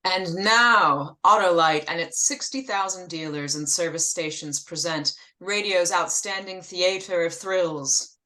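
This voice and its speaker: A grand 1940s-style suspense radio announcer , played by a woman. The delivery is slow and portentous, with a sharp, projecting tone on the lower side. It is theatrical, old-time radio drama announcing. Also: poor audio. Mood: grand, ominous. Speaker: sponsor announcer in a radio play.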